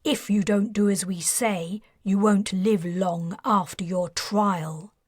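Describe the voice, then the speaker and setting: A woman's voice: mid-range voice, steady tone, takes it slowly, breathy edge, no echo. A woman voicing a stern, dry female brigadier. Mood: cold.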